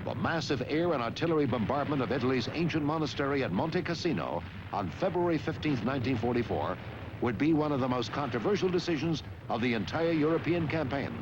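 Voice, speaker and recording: A man's voice: medium pitch, expressive, a little fast, highs cut off, background noise. A man reading scripted historical narration for a war documentary.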